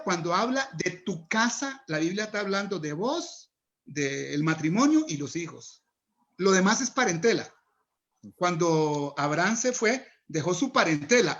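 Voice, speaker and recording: A man's voice: high voice, sing-song, moderate pace, a bit bright. A man, an interview guest, explaining scripture step by step in a didactic, teaching way. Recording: muffled lo-fi audio.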